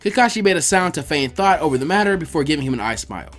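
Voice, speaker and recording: A man narrating a story aloud in an expressive audiobook style. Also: a little high, rapid-fire, faint music behind.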